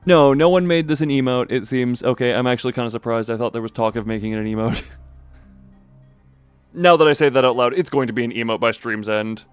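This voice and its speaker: A man's voice: mid-range voice, a little fast, clear, resonant tone, no room sound, soft background music. A man hosting a livestream, rambling casually and jokingly as he goes off on tangents and talks back to chat. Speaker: livestream host, streamer.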